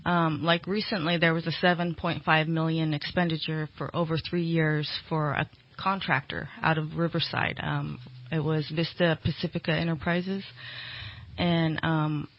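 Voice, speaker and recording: A woman's voice: sits low, flat delivery, normal speed. A woman political candidate answering interview questions conversationally, explaining things with some hesitant phrasing. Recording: dull, lo-fi radio interview audio.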